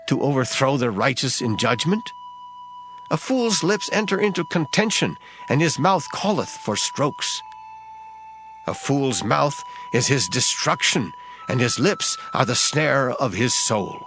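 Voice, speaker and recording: A man reading scripture aloud in a measured, solemn recitation. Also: medium pitch, sing-song. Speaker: scripture reader. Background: background music.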